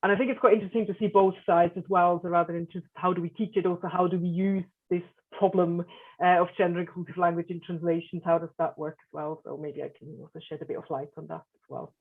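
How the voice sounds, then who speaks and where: A woman's voice: on the low side, level tone, fast. A woman from Switzerland, a German teacher and translator, introducing herself on a panel with many hesitant fillers. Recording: tinny phone-like audio.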